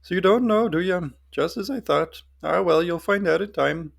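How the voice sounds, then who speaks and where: A man's voice: high-pitched, moderate pace, creaky, voice pushed up, exaggerated voice. A man voicing a tiny, wrinkled old goblin woman, sly, folksy and knowing, in a fantasy story. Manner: folksy, teasing and cryptic.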